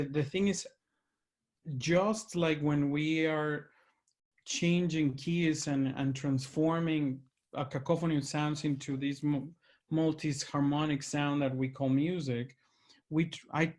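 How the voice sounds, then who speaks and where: A man's voice: fairly high pitch, steady tone, takes it slowly, a little mellow. Earnest Mexican-accented male host. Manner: earnest, emphatic, searching for words. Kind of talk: reflective conversation.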